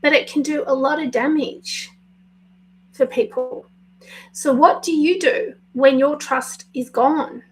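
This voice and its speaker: Slow husky-voiced woman coach. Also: high-pitched, low-quality recording. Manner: slow, pausing, careful with words, apologetic. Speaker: life coach. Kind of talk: spontaneous live video talk.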